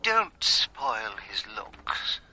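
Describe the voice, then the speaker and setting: A man's voice: mid-range voice, very animated, drawn-out words, bright, forceful tone, a little hiss or hum, exaggerated voice. An actor playing a suave, wry male tipster with a British accent, chatty and confiding, in a radio drama.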